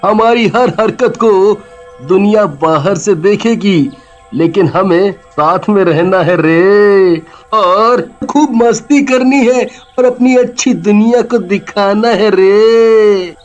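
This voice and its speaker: A man's voice: high voice, takes it slowly, muffled recording, faint music behind. A man voicing a genial, preachy peacemaker in a cartoon, speaking in a folksy way with drawling sentence tags. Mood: cheerful preaching. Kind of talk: Hindi cartoon voice acting.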